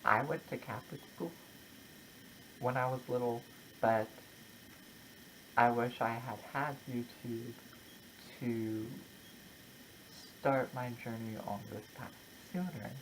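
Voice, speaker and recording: A content creator talking casually and personally, in a halting, reflective way with short broken phrases. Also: mid-range voice, fairly bright tone, a little hiss or hum, low-quality recording. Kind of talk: casual personal vlog, opinion talk to camera.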